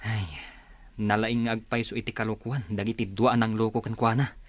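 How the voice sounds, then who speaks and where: A man's voice: on the low side, level tone, moderate pace, no room sound, natural voice. A man acting an easygoing, doubtful friend who is respectful to elders, in an Ilocano radio drama. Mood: confiding.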